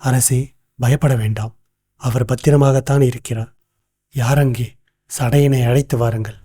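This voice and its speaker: A man playing a shrewd, composed royal minister in an animated tale, speaking in a formal, deliberate, explanatory way. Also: mid-range voice, breathy edge. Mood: composed, accusing. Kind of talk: animated moral story dialogue.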